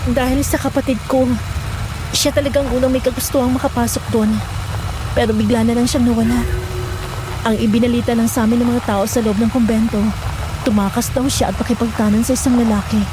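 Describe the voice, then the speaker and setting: An actress playing an earnest, uneasy young former novice nun in a Filipino horror audio drama, speaking with some hesitation. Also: female voice, on the high side, noisy background, natural voice.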